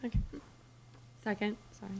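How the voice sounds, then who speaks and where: A woman's voice: normal speed, darkish tone, rough recording. A woman on a school committee chatting casually during a meeting.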